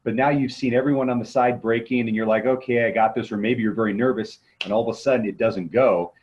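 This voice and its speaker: A man's voice: medium pitch, fairly even intonation, fast talker, a little mellow, muffled recording. A man speaking as an interview guest and motivational coach, explaining things conversationally and posing rhetorical questions. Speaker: guest, motivational coach and martial arts trainer.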